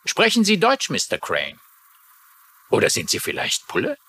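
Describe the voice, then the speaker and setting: A man's voice: a little high, huge pitch swings, moderate pace, pushed and bright, husky, full character voice. A man playing a meddlesome, smug amateur sleuth, insistent and theatrical, in a dubbed crime drama.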